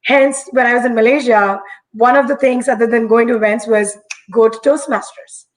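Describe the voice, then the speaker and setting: A young woman from India with a husky voice that still sits fairly high. She tells her story as an interview guest, earnest and reflective. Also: fairly even intonation, normal speed, low-quality recording. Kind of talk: live-streamed conversation.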